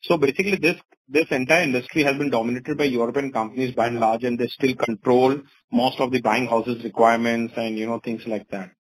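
A man's voice: medium pitch, breathy edge, low-quality recording. A man with an Indian accent answering investors as a company executive on an earnings call, wordy and often saying "you know".

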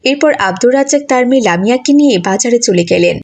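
A woman narrator with a high-pitched voice. She reads a scripted children's cartoon story. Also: animated, fast talker, darkish tone.

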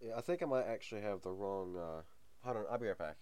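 A man's voice: lowish voice, fast, a little mellow, smooth. A young man hosting a gaming channel, talking casually over a game. He has a cold and the sniffles, and he rambles with many ums and small chuckles. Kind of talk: casual let's-play commentary.

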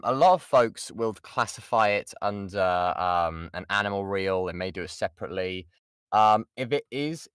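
A man's voice: on the low side, fairly bright tone, clean tone, no room sound, studio quality. A British man giving an informal voice acting lesson and answering questions, often restarting his sentences and stressing key points. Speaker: voice actor giving a workshop. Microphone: close mic on an online voice chat.

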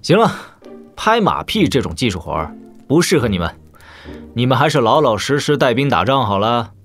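An actor playing a shrewd young warlord prince with measured, casual authority in a wuxia audio drama. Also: male voice, mid-range voice, sing-song, faint music behind. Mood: teasing.

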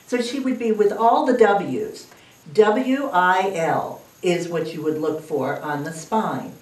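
An older woman reading at a children's library storytime in a slow, animated, sing-song way. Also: fairly low pitch. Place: library room with wood paneling. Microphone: far camera. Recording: echoey room from a distance.

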